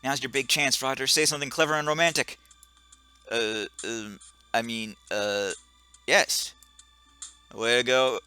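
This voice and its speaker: A man's voice: mid-range voice, fairly even intonation, a little slow, pauses often, pushed and bright, smooth, soft background music. A man casually commenting as he plays a game, joking at himself and tripping over words. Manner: joking, self-mocking, trips over words. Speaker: let's play gamer.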